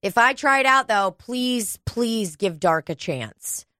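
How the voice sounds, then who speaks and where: A woman's voice: a little high, expressive, slow, sharp tone, no echo, clean studio sound. A woman co-hosting a podcast, chatting casually and emphatically, swearing often. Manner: profane, emphatic. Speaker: podcast co-host. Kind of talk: casual chat.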